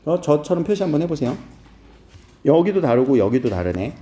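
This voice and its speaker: A man's voice: on the high side, very animated, a little fast, mellow, reverberant. A man from Seoul teaching a class, asking his students questions and making playful asides. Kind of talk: classroom lecture.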